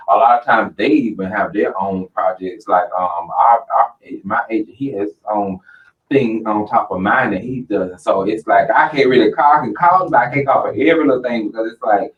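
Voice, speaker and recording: A man hosting a talk show, chatting in an animated, rambling way full of fillers. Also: moderate pace. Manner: animated, rambling, lots of fillers and jumps in pitch. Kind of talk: casual interview chat. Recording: distant mic, muffled lo-fi sound.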